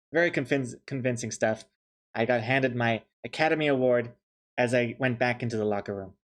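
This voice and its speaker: A man's voice: medium pitch, normal speed, long pauses. A young man chatting casually on a stream, joking and thanking viewers as he goes. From New Zealand. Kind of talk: casual chat while drawing on stream.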